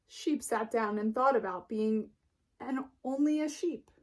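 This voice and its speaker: A woman reading a children's picture book aloud as narrator, expressively and deliberately, with dramatic pauses. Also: a little high. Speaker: storybook reader.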